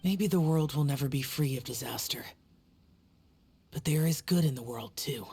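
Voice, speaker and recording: A woman voicing a solemn, aloof young male guardian spirit in a video game, speaking in a measured, formal way. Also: deep voice, dark tone, slightly rough, heavily acted.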